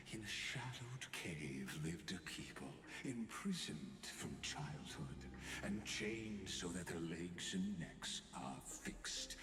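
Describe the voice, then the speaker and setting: An actor playing an imprisoned, philosophical villain in a video game, delivering a deliberate, grandiloquent theatrical monologue. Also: male voice, very deep voice, exaggerated voice. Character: imprisoned male villain, grandiose philosophical narrator awaiting his destroyer. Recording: echoing voice over clear background music.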